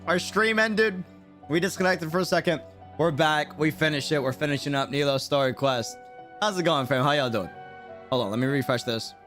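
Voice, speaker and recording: A man's voice: on the high side, moderate pace, fairly bright tone, faint music behind. A man talking casually and jokily in slang as he livestreams a video game. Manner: slangy, informal, jokey. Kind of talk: casual livestream chat and reactions.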